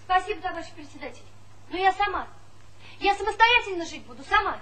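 A woman's voice: very high voice, lively intonation, quick pace, crowd noise. An actress playing a proud, pert young woman, stubbornly independent, in a Soviet stage comedy. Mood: stubborn, guarded. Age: young adult. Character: spirited, proud young orphan woman, newly arrived specialist. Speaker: actor. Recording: slightly noisy old theatre recording.

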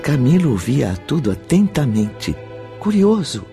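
A man's voice: mid-range voice, very animated, measured pace, gravelly. A man narrating a radio drama in a formal, scripted storytelling manner. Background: prominent music.

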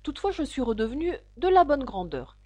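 A woman's voice: high-pitched, sing-song, fairly quick, a little mellow, voice pushed up. A woman voicing a polite, earnest little girl who protests and hesitates. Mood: musing.

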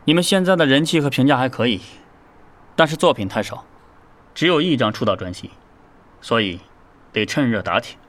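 Firm male band manager, mid-pitched, played by an actor. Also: lively intonation, fairly quick, pauses often, fairly bright tone, natural voice. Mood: businesslike. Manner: matter-of-fact, instructing. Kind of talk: audio drama acting.

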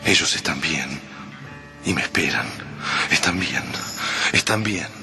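A man's voice: extremely low pitch, expressive, unhurried, vocal fry, heavily acted. An actor playing a desperate, anguished husband and father in an Argentine radio drama about an invasion. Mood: brooding, tense. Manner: anguished, urgent, exclamatory. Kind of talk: dramatic radio play acting. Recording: loud music over radio drama dialogue.

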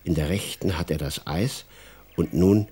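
A man's voice: deep voice, measured pace, warm tone, breathy edge. A man narrating a children's radio play as a scripted storyteller.

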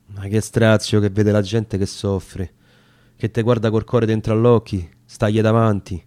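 Roman guy reciting sad war poem style verse, deep-ish relaxed tone, very solemn and theatrical. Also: a little slow, no echo. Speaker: reader of dialect poetry. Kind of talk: dramatic recitation of narrative verse.

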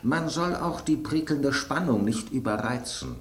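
An actor playing an inquisitive, brusque piece of bread in a German radio drama. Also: male voice, medium pitch, animated, unhurried, roomy sound, close to an everyday voice. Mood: impatient. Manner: inquisitive, brusque, rhetorical questioning. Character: bread on a restaurant table, gruff gossipy male detective type.

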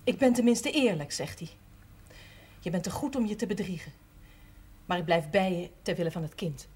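A woman's voice: on the low side, moderate pace, a little mellow, slightly rough. A woman playing a resigned, matter-of-fact young wife and mother in a naturalistic television drama. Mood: subdued, resigned. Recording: noisy old television drama soundtrack.

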